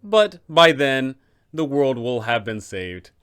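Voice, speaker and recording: Clear, smooth-voiced man explaining with emphasis. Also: medium pitch, very animated, slow pace, a bit bright. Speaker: host, tabletop game master giving advice. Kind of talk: explanatory video commentary on role-playing villains.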